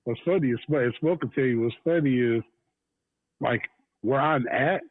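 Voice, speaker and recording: A man's voice: mid-range voice, normal speed. A laid-back man with a Midwestern American accent, chatting casually as a guest with fillers and chuckles. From US mainland, Midwest. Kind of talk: casual chat. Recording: tinny phone line, dry.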